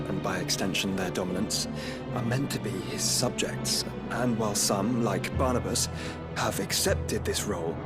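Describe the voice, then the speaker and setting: Guy playing a scholarly young noble in a fantasy game, lowish voice, measured and courtly, music behind him. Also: close to an everyday voice. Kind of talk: formal, archaic fantasy drama dialogue.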